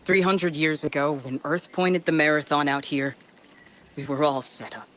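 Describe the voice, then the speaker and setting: A man's voice: on the high side, fairly even intonation, moderate pace, a bit bright, close to an everyday voice. A man playing a lonely, weary colonist survivor. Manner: weary, bitter, rambling confession. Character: lonely male colonist survivor recording a desperate log. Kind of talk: game audio log.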